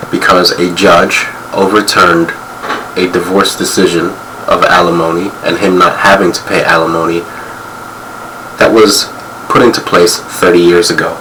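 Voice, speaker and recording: Hoarse, quiet-voiced man delivering angry commentary; very low monotone, heard from off the mic. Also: far from the mic, a little hiss or hum, rough recording. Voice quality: quiet, strained. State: hoarse, losing his voice. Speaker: video commentator.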